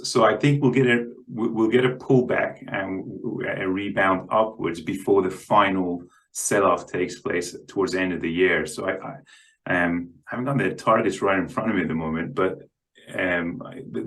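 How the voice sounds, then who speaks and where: A man's voice: low-pitched, fairly even intonation, normal speed, a bit bright, low-quality recording. A man speaking as a guest analyst in unscripted market commentary, hesitant and often repeating his words.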